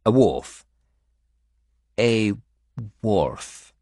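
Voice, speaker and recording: A male audiobook reader acts out a guarded, bitter foreign woman who was once a slave. The voice lifts above his own and moves slowly in short, halting phrases. It has a husky grain and a haunted, angry edge. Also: on the low side, expressive, dark tone, no echo, clean studio sound, pitched above the performer's own voice, full character voice. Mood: haunted, sorrowful.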